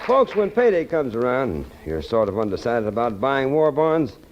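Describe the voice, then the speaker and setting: A man's voice: medium pitch, very animated, normal speed, over a noisy crowd. An American man hosting a 1940s radio variety show, delivering announcer patter. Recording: dull, noisy 1940s radio broadcast, audience.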